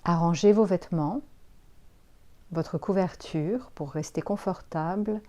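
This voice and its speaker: Slow, mellow woman guiding relaxation. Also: fairly low pitch. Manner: calm, unhurried, soothing instructions with pauses. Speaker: yoga nidra instructor. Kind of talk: guided relaxation meditation.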